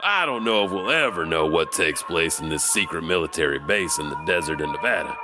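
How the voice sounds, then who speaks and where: A man narrating a horror podcast as a lively, chuckling storyteller with a gravelly voice. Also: sits low, fairly quick. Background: music.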